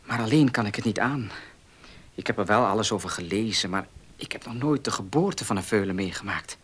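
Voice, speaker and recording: An actor playing an earnest, proud young farmer in a radio play, husky and plain-spoken, with emotional delivery. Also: male voice, on the low side, a little fast, a bit bright. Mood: troubled, bitter. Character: earnest young horse-loving farmer, proud, short of money. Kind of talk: Dutch radio play acting.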